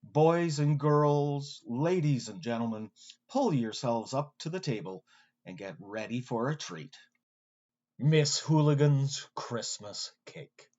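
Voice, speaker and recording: Expressive male narrator, slightly dull recording. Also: medium pitch, slow. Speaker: podcast narrator. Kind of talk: scripted narration about pantomime.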